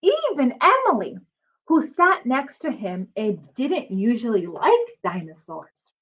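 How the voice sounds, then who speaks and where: Woman, storybook read-aloud, teacherly, high voice, unhurried pace, expressive emphasis, explains to children, muffled lo-fi recording. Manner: animated, drawn-out emphasis, explains to children as she goes. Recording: dull lo-fi sound.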